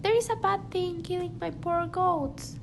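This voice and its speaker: Worried farmer lady, played by a woman: very high voice, slow delivery, amateur radio play, noisy recording. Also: vocal fry, heavily acted. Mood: anxious pleading. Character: worried farmer lady frightened for her killed goats. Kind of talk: amateur radio drama acting.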